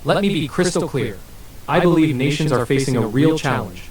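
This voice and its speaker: A synthetic male voice answering as an AI interview guest, smooth and evasive with scripted-sounding replies. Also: fairly high pitch, animated, normal speed. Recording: roomy and distant with faint hiss.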